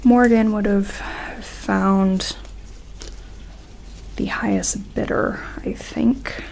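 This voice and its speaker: Female performer as gentle colony medic for sci-fi ASMR: unhurried, soft-spoken, reassuring, with a crackly fry in the voice. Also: mid-range voice, full character voice. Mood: wry, confiding. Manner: hushed, hesitant, reassuring, informal.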